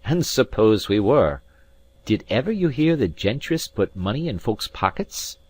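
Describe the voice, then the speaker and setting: Storybook Highland rogue male narrator in character, Scottish, fierce and grumbling, emphatic delivery with big swoops of pitch. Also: very animated, measured pace, darkish tone. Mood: softened, boastful. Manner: blustering, emphatic, indignant. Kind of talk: dramatised audiobook reading of a classic adventure novel.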